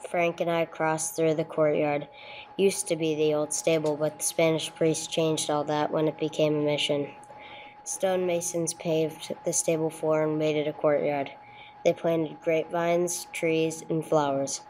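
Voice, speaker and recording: A woman's voice: sits low, level tone, measured pace, a little mellow, a little hiss or hum, low-quality recording. A woman playing a dry, businesslike police detective sergeant in a radio drama, with terse, matter-of-fact delivery. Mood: deadpan, matter-of-fact. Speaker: narrator and lead actor. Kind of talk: old-time radio police drama, scripted narration.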